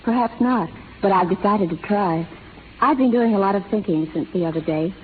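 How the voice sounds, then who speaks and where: An actress playing a gentle, earnest young schoolteacher with proper, sincere speech in an old radio drama. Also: female voice, mid-range voice, moderate pace, close to an everyday voice. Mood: timid, earnest. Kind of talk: 1930s radio drama acting. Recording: tinny, noisy 1930s radio recording.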